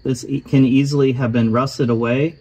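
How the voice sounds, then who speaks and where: Calm man narrating an engine repair. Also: medium pitch, moderate pace. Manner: calm, matter-of-fact explaining. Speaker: host of a car restoration channel.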